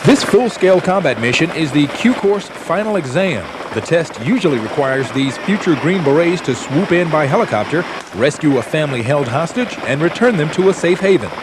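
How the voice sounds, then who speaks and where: Lively guy doing news voiceover, bit high, clear outdoor and vehicle noise behind. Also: moderate pace. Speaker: news reporter. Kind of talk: scripted news narration.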